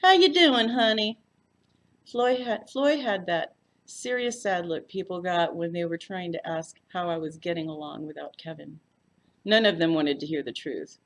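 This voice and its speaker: A woman's voice: fairly low pitch, moderate pace. A woman reading a first-person mystery story aloud as a volunteer narrator. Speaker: volunteer audiobook reader.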